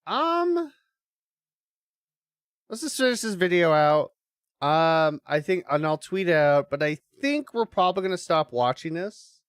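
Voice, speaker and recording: A man's voice: a little high, sing-song, measured pace, long pauses, clear, resonant tone, dry recording, studio quality. A man hosting a live watch-along, giving casual reaction commentary with swearing and muttered asides.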